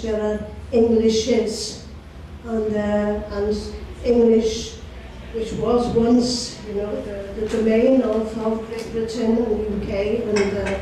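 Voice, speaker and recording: Woman on a panel, measured and articulate, slightly high voice, mic far away. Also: dark tone. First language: Welsh. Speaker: panel guest, poet. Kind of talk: panel discussion. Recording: echoey hall, distant mic, faint noise.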